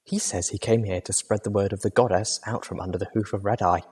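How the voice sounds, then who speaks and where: A man doing a soft-spoken refined mare. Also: lowish voice, steady tone, quick pace, breathy edge. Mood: hushed. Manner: soft, hushed.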